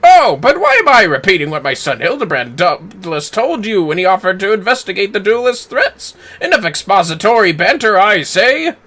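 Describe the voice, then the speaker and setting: Pompous, forceful, effusive nobleman. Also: sits high, very animated, normal speed, hardly any pauses, voice pushed up, full character voice. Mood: relieved, proud. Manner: pompous, courtly, verbose. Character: proud, effusive, long-winded noble father. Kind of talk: video game dialogue read aloud.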